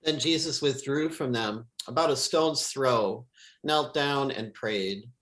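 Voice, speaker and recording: A man reads scripture as a pastor would, in a solemn, measured and deliberate liturgical style. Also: mid-range voice, a bit bright.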